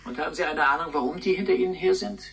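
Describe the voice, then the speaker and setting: An actor in a German radio drama playing a polite, pedantic service robot with a formal, precise manner. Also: male voice, a little high, racing, gravelly, fairly bright tone, slight background noise.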